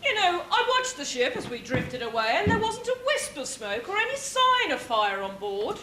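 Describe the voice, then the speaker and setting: Woman as stately comic queen, very high, swooping, theatrical, echoey hall with noisy audience. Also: big pitch swings, normal speed. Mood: dismayed. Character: dignified queen in a comic pantomime. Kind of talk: stage pantomime acting.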